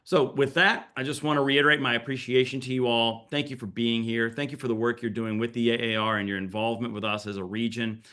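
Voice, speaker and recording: A man's voice: mid-range voice, steady tone, quick pace, few pauses, sharp tone, smooth. A man hosting an online information session as regions director, talking in a friendly, chatty way with small fillers and self-corrections. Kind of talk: casual scripted welcome on a video call. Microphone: video call.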